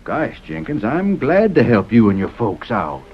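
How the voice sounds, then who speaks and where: An American actor playing a sly Western mine owner who feigns neighborly goodwill in a folksy, placating drawl, in 1930s radio style. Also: male voice, medium pitch, wildly swooping pitch, a little slow. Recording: dull, noisy 1930s radio recording.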